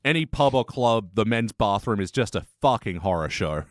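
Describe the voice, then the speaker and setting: A man's voice: lowish voice, animated, normal speed, no room sound, clean studio sound. An Australian man hosting a casual comedy review chat, informal, joking and given to swearing. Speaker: podcast host.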